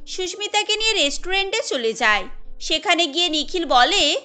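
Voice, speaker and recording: A woman's voice: very high voice, lively intonation, normal speed, pushed and bright. A woman narrating a scripted cartoon story as its storyteller. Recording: music under the voice.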